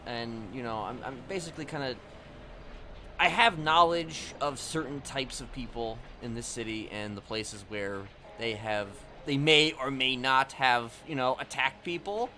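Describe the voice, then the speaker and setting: A man's voice: mid-range voice, a little slow, pushed and bright, a little hiss or hum. A man chatting casually in a role-play game, rambling and hesitant, padding his sentences with filler phrases. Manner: hesitant, rambling, often saying "you know". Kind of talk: casual in-character chat.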